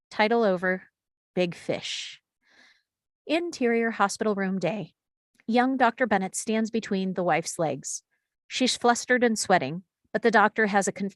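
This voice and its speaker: A woman's voice: medium pitch, dry recording, clean studio sound. A woman reading stage directions as scripted narration at a screenplay table read.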